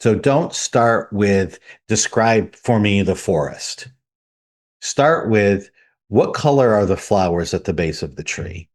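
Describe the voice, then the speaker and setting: A man's voice: fairly low pitch, expressive, takes it slowly. A man hosting a podcast, methodically explaining his points in order. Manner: methodical, lays out numbered points. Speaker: podcast host.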